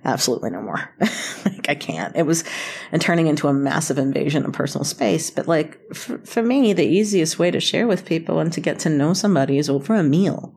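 A woman's voice: deep voice, lively intonation, a little fast, vocal fry, a little mellow, breathy edge. An American woman telling stories casually as an interview guest, chatty, informal and self-deprecating. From North Carolina, United States. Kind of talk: casual conversational storytelling.

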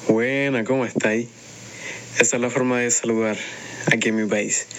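A man from Chile with a Chilean Spanish accent, speaking fast with clipped, muffled consonants in a recorded accent sample. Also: medium pitch, steady tone, fairly bright tone, slight background noise. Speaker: contributor.

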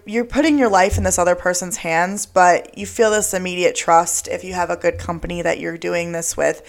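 A young woman hosting a podcast, talking casually and rambling, her voice raspy and smoky from a cold that has left her throat sore. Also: lowish voice. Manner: chatty, rambling, lots of "like" and "um". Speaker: podcast host. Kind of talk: casual solo podcast talk.

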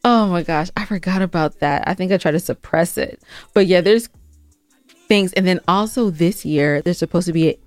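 A woman co-hosting a podcast in casual banter, telling stories in an animated, conversational way. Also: on the low side, normal speed, dry recording, soft background music. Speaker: podcast co-host.

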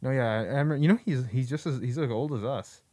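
A young man with a mid-pitched voice who talks very quickly. He is casual and slangy, trading memories with friends and readily agreeing with them. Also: mellow, no echo, studio quality. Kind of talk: casual chat, reminiscing with friends.

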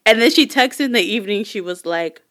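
A young woman telling stories in a casual chat, animated, laughing often and swearing freely. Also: medium pitch, a little fast. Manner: chatty, animated storytelling with frequent laughs and swearing.

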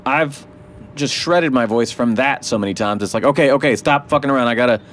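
A man's voice: medium pitch, brisk, fairly bright tone. A man, a musician hosting an interview, chatting casually, laughing often and swearing easily.

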